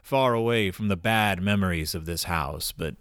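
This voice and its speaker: An actor playing an elderly widowed grandfather in an audio drama, courteous and old-fashioned, wistful with a gruff, wry edge. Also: deep voice, moderate pace, a bit bright, no echo, studio quality. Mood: wistful, reflective. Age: older.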